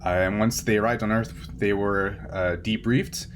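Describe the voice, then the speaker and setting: Guy gming a sci-fi tabletop game, lowish voice, kinda halting, noisy recording. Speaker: game master. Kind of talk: narrating a tabletop game, improvised. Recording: clear steady background noise.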